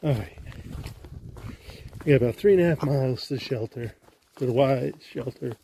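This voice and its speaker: A man's voice: medium pitch, normal speed, gravelly, mellow, slight background noise. A man hiking a rocky mountain trail, chatting casually to viewers as he films, with an upbeat, easygoing manner. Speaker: hiker filming his trail vlog. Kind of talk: casual walk-and-talk narration. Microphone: handheld camera while walking.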